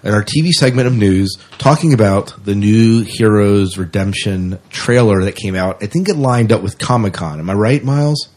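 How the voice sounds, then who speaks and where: A man's voice: lowish voice, moderate pace, few pauses, a little mellow. A man hosting a podcast in a casual, conversational style. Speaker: podcast host.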